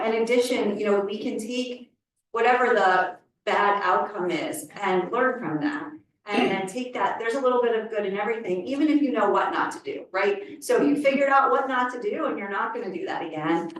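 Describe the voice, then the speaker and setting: A woman panelist speaking in an encouraging, conversational way at a community event. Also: mid-range voice. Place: community center hall. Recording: echoey hall from far off.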